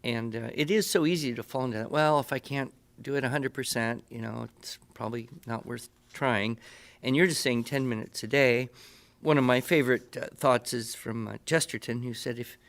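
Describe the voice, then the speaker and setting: An older man hosting a podcast conversation, speaking reflectively with halting phrasing. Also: medium pitch, fairly bright tone. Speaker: podcast host. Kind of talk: conversational interview.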